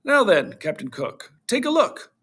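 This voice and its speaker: An eager, kindly storybook father, played by a man reading aloud. He explains things in an animated, fairly high voice with a gravelly edge. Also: measured pace, full character voice.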